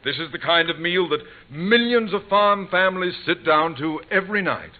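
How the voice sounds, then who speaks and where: An actor playing a proud, stubborn middle-aged husband and amateur gardener in a vintage radio sitcom, hesitant yet self-important. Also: male voice, high-pitched, expressive, normal speed. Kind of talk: 1950s radio sitcom acting. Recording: tinny vintage radio broadcast recording.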